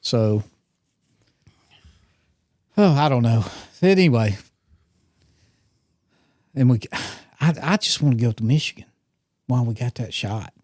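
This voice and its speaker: A man's voice: medium pitch, animated, unhurried, pauses often, a little mellow, no echo, studio quality. A man around sixty, co-hosting a hunting podcast in casual, rambling, teasing chat. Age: 60s. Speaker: podcast co-host.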